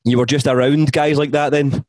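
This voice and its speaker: A man's voice: on the high side, level tone, normal speed, darkish tone. A man hosting a podcast, asking questions and nodding along with brief affirmations. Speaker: podcast host. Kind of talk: interview questions.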